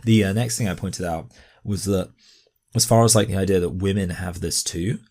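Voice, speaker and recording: A very low-pitched man hosts a commentary, pressing his points hard. His speech is full of "uh"s, "like"s and restarts. A slight cough sits in his voice. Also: steady tone, moderate pace, dark tone. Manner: emphatic, many fillers and restarts. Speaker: commentary host. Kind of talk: argumentative commentary on a video.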